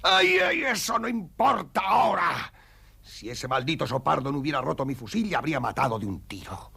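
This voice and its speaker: Raspy, swaggering bear hunter. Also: male voice, a little high, big pitch swings, fairly quick, exaggerated voice. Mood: pained, angry. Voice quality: raspy, gravelly. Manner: blustering, exclamatory, swinging from hushed to shouting. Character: boastful veteran male bear hunter. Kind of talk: dubbed cartoon acting.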